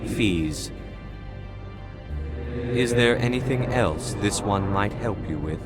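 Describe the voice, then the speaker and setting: A man voicing a humble alien merchant in a video game, speaking formally and deferentially in measured phrases. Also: fairly low pitch, expressive. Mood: humble, courteous. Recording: game music behind.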